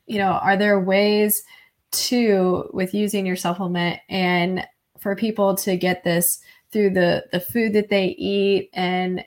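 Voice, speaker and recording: A woman hosting a podcast interview, speaking in an encouraging, steady way. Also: on the low side. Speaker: podcast host.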